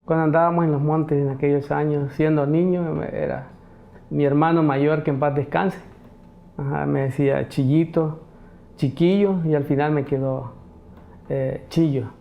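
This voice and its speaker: A man's voice: a little high, steady tone, measured pace, creaky, dull audio. A man in his fifties with a Salvadoran accent, sharing personal memories in an interview. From rural El Salvador. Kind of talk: personal recollection.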